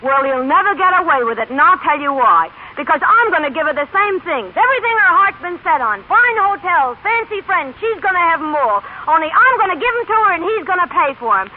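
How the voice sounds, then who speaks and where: A woman's voice: very high-pitched, lively intonation, fairly quick, hardly any pauses. An actress playing a brassy, stubborn working-class mother, blunt, slangy and fiercely protective, in a 1930s radio drama. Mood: defiant. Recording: scratchy, tinny old radio broadcast.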